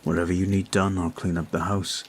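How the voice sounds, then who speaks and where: A man voicing a devoted young male vampire in an ASMR roleplay audio. He speaks in an earnest, formal, quiet way. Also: low-pitched, little pitch movement, brisk, husky.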